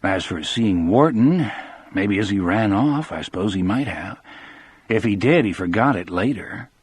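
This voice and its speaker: A man's voice: lowish voice, expressive, measured pace, husky. A man voicing a troubled, earnest middle-aged prison guard in an audiobook. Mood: reasoning, intense. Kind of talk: audiobook character dialogue.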